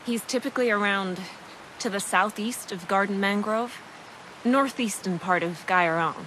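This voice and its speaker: A woman's voice: mid-range voice, normal speed, close to an everyday voice. A woman acting as a worried game settler. Her mid-range voice is guarded and plain-spoken, over a faint bed of background noise. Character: worried woman settler telling of a missing friend. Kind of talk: video game cutscene acting.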